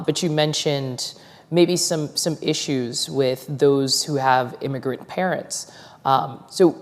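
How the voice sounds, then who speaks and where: A woman moderating a panel discussion, asking measured questions and affirming the speakers. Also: a bass voice, a little mellow, long reverb tail. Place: university forum hall.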